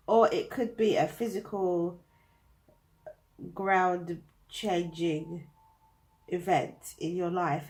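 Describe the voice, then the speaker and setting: A woman's voice: fairly low pitch, darkish tone, low-quality recording. A woman with a British accent, reading tarot cards to camera in a chatty, thinking-aloud way, her nose blocked up from a cold. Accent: British English. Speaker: tarot reader.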